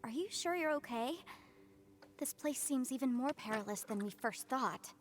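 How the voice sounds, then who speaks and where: A woman playing a polite, thoughtful young scholar in a video game, measured, formal and analytical. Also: a little high, lively intonation, slight background noise, natural voice. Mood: polite, gentle. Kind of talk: English video game voice acting.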